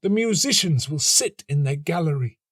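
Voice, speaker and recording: A man's voice: a little high, lively intonation, husky, no room sound, clean studio sound, voice pushed up. A man voicing a lovelorn young student in a storybook, plaintive and melodramatic, drawing out his romantic despair. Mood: wistful, self-pitying. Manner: plaintive, melodramatic, drawn-out sighing delivery. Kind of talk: storybook reading.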